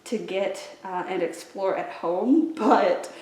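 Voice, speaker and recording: A woman's voice: mid-range voice, takes it slowly, darkish tone. A woman hosting a children's storytime vlog, chatting to viewers in an upbeat, enthusiastic way. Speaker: children's library storytime host. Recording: roomy, echoey indoor sound.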